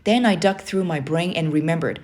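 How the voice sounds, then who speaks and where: A woman narrating a children's book aloud in an expressive storybook style. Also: sits low, moderate pace.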